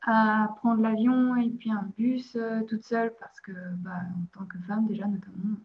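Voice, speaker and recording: Flat-voiced Belgian woman, muffled audio. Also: a little high, a little slow. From Belgium, province of Liège. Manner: hesitant, many "euh" fillers, laughs lightly. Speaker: podcast guest. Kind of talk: casual interview chat.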